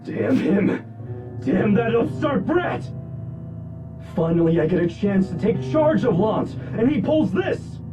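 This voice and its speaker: A high-pitched, seething noble villain. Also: male voice, big pitch swings, slow pace, far from the mic, over music, full character voice. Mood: furious. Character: bitter, fuming, entitled noble villain. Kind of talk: dramatic video game voice acting.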